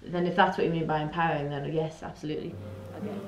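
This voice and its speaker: A woman's voice: low-pitched, fairly even intonation, quick pace, dull audio, soft background music. A woman, a performer, giving reflective answers in an interview. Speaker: burlesque performer. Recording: echoey room, faint noise.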